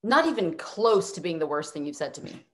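A young woman playing an anxious, skeptical former assistant in a comic table read, deadpan and incredulous, over video call. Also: fairly low pitch, a little fast, a little hiss or hum. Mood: defiant.

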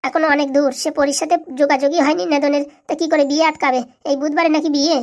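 A woman acts out a defensive village mother from a comedy cartoon. The voice is high and races along, brusque and full of self-justification. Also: flat delivery, very fast, lo-fi sound. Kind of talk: comic cartoon dialogue.

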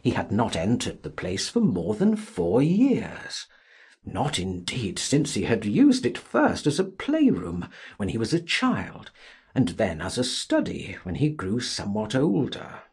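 Male audiobook narrator, very breathy rasp, hugely swooping pitch, dramatic, theatrical. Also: huge pitch swings, a little mellow. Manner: theatrical, deliberate phrasing.

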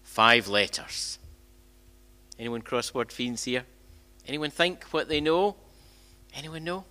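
An older man delivers a conversational sermon from a church lectern. His voice is bright and forceful, with lively swings in pitch. He leans on rhetorical questions and often repeats or restarts a phrase as he goes. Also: takes it slowly. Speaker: minister preaching. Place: church sanctuary, indoors. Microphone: gooseneck lectern microphone.

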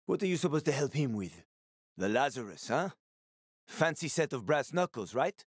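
A sly middle-aged smuggler from a video game, with a mid-pitched man's voice in a very dry recording. He talks casually and teasingly, like a streetwise survivor who always has a wisecrack ready. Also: big pitch swings, moderate pace, no room sound. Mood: sly, joking. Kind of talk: video game voice acting, conversational.

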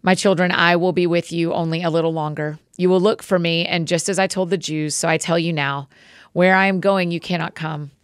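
A woman reading the words of a calm, authoritative male teacher in a measured, solemn way. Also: fairly low pitch, smooth, no echo, studio quality, natural voice.

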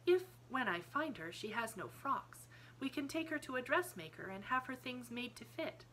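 A woman's voice: a little high, big pitch swings, moderate pace, fairly bright tone, a little hiss or hum, pitched above the performer's own voice, low-quality recording. A woman voicing an earnest, imaginative seven-year-old girl in an audiobook, thoughtful, precise and eager. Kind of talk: audiobook character voice.

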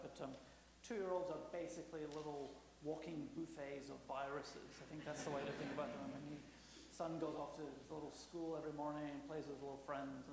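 A slightly hoarse man in his forties delivers a lecture at a brisk clip, often restarting his phrases. His somewhat high voice rings through a large echoey hall with a faint hum. It is picked up by a podium mic. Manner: fast, fluent, frequent restarts. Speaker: guest speaker. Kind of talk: public lecture. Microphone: podium microphone.

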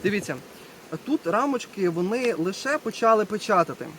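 A man's voice: sits high, lively intonation, moderate pace, a bit bright. A man giving a casual hands-on demonstration as a beekeeper, talking to viewers while he works and repeating short phrases. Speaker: beekeeper presenting a video. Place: outdoors at an apiary. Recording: outdoor recording with buzzing bee noise. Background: buzzing bees and insects.

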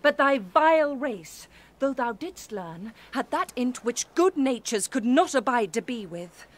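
An actress playing an indignant, scornful young sorcerer's daughter, declaiming in a Shakespearean audio play. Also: female voice, a little high, sing-song, measured pace. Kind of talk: Shakespearean audio drama acting.

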